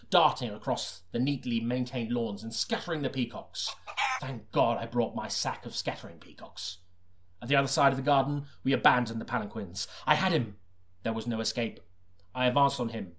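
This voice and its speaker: A man's voice: mid-range voice, a bit bright, natural voice. A man playing an earnest, melodramatic detective who narrates his own crime story as an audiobook. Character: deadpan melodramatic hard-boiled detective narrator, secretly a sinister killer.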